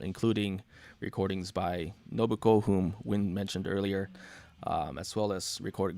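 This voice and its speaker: A man's voice: low-pitched, no room sound. A man who grew up in Japan, talking casually on a video call from his studio as a panel guest, with many fillers. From born in the US, grew up in Japan. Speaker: taiko player, panel guest. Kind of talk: casual online panel talk.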